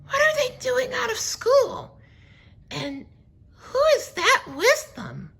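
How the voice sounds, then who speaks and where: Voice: wildly swooping pitch, takes it slowly, gravelly, a bit bright, heavily acted. A storybook reader voicing a shocked woman schoolteacher in a scratchy, squealing, incredulous voice. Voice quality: scratchy, shrill.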